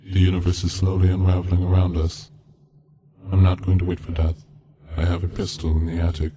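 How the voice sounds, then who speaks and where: A man reading a scripted eerie story as narrator, in a measured, solemn, deadpan way. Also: low voice, husky. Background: background music from game soundtrack.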